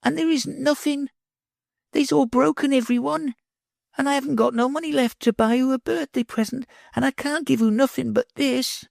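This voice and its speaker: A man's voice: very high-pitched, fairly even intonation, normal speed, creaky, darkish tone, no room sound, clean studio sound, pitched above the performer's own voice, exaggerated voice. A man reading aloud, voicing a small earnest boy who lisps in baby talk. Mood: sorrowful. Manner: childish baby talk, sorrowful.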